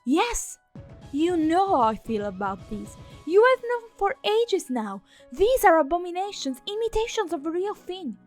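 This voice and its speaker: A woman's voice: extremely high pitch, big pitch swings, unhurried, a little mellow, soft background music, exaggerated voice. A woman playing a haughty, easily offended goddess of natural life in a fantasy audio drama, formal and accusatory.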